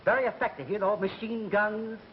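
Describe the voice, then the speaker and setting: An actor playing a flustered, distracted radio executive who stammers and repeats himself in a 1930s comedy film. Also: male voice, sits high, a little fast, close to an everyday voice. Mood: brisk, enthusiastic. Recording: muffled, noisy 1930s film soundtrack.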